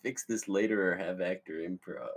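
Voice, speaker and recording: A man's voice: low voice, moderate pace. A man playing a cocky, sleazy teenage party wingman at a script table read. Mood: jeering. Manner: swaggering, crude.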